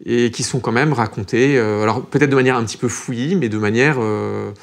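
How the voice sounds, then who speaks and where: A man's voice: mid-range voice, fairly quick, gravelly. A man speaking as an art critic in a panel debate, circling back and repeating words as he builds his argument. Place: TV studio.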